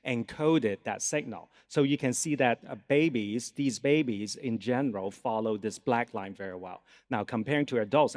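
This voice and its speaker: A man's voice: medium pitch, a little mellow. A man with a Cantonese accent, a native Cantonese speaker, giving an academic lecture and explaining things as he checks in with his audience. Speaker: guest lecturer, neuroscience professor. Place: conference lecture hall.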